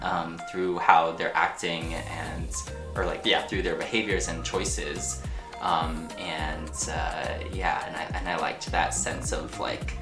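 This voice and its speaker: An actor and artist speaking casually and haltingly in an interview, telling personal stories with frequent filler words. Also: low voice. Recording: echoey room with music underneath. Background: music.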